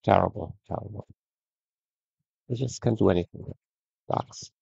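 A man giving a relaxed, conversational screen-share review of trading charts, often ending points with "right?". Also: on the low side, measured pace, vocal fry, breathy edge, no room sound, lo-fi sound. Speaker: trading educator.

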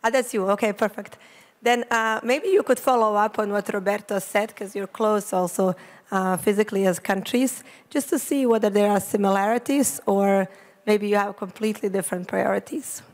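A woman in her thirties runs a panel session in a polite, orderly way, thanking speakers and passing the floor along. Her mid-pitched voice is picked up by a handheld mic on stage. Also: steady tone, normal speed. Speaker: session moderator. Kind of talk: moderating a panel. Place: conference stage, seated.

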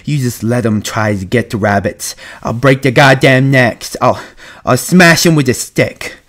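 A man's voice: on the low side, very animated, normal speed, a bit bright. A man playing a big, childlike, eager simpleton. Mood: angry, threatening. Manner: simple-minded, pleading, rustic American speech.

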